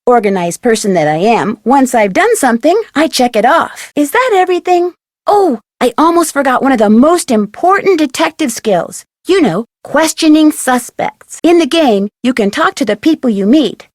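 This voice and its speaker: A woman's voice: high-pitched, expressive, normal speed, no room sound, studio quality. A woman playing a perky, polite, inquisitive young detective in a video game, speaking in a chirpy, articulate, friendly way. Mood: cheerful, instructive. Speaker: game protagonist and tutorial guide. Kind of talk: scripted video game voice acting.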